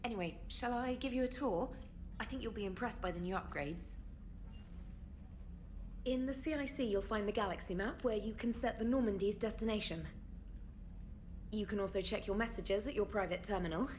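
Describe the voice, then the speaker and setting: A woman plays an efficient military crew specialist in a game cutscene. She is friendly and orderly, mid-pitched, with faint background noise. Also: normal speed, long pauses, darkish tone, lightly acted. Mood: helpful. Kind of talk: video game cutscene acting.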